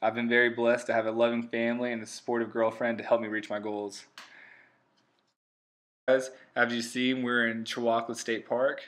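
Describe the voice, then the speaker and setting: A man's voice: mid-range voice, level tone, moderate pace, fairly bright tone. A man, earnestly narrating for viewers as a channel co-host. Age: 20s. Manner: earnest, upbeat, addresses viewers as guys. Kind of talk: casual voice-over narration.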